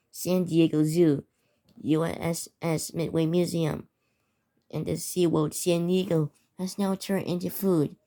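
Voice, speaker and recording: A woman with a fairly low, very mellow voice reads out amateur emergency bulletins as a narrator. The delivery is halting, with words often stumbled over and said again. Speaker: narrator of a fictional emergency alert scenario.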